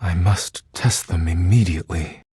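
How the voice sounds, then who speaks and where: An actor playing a proud, formal male artist in a video game, with a husky voice and deliberate, theatrical phrasing. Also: low-pitched, dark tone, dry recording, clean studio sound. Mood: earnest, awed. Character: proud, formal, easily wounded male artist, devoted when befriended.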